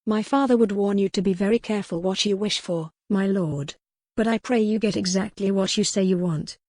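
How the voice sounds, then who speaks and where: A woman's voice: medium pitch, fairly quick, darkish tone, dry recording, clean studio sound, lightly acted. A woman voicing a proud, earnest young noblewoman in a historical romance audiobook. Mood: cutting. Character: young noblewoman, proud captive wife, earnest and sharp-tongued.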